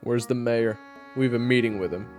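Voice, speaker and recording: A man's voice: mid-range voice, steady tone, fairly quick, over music, lightly acted. A man playing a bitter, blunt monster hunter who talks in weary slang in an audio roleplay drama. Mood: impatient.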